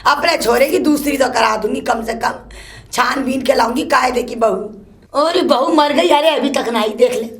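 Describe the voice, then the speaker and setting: A woman playing a shrill, cursing old mother-in-law who keeps scolding her daughter-in-law. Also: normal speed. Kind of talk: comic one-woman sketch.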